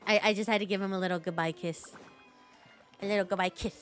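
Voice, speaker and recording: A woman's voice: mid-range voice, steady tone, quick pace, faint music behind. A woman game streamer giving casual, playful, gushing live reaction commentary.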